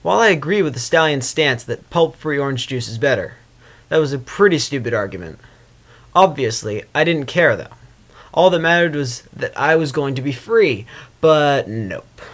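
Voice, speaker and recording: A man doing an amateur, expressive reading of a first-person story, with casual delivery. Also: mid-range voice, normal speed. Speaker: fan fiction reader.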